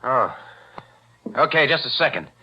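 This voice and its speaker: A man's voice: medium pitch, sing-song, measured pace, slight background noise. A man playing an edgy, defensive small-time crook in a 1940s radio drama, talking in curt, slangy, defiant bursts. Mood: wary, defensive. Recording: dull, lo-fi 1940s radio recording.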